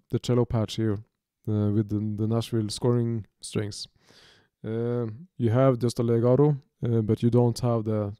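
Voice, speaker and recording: Slow, mellow Norwegian man explaining. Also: mid-range voice, smooth, no echo, studio quality. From Norway. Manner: halting, many restarts and fillers. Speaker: music producer demonstrating software. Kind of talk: unscripted tutorial. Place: small home music studio, indoors.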